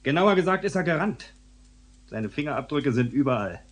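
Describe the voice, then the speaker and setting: An actor playing a hard-nosed homicide detective in a radio drama, sarcastic and goading. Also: male voice, a little high, lively intonation, moderate pace, close to an everyday voice. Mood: smug, goading. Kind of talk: dialogue-driven radio drama acting.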